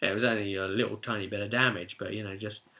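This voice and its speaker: Bright-voiced British man recapping a game. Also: lowish voice, fairly even intonation, quick pace. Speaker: game master and host recapping a tabletop role-play session. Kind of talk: casual spoken recap, storytelling.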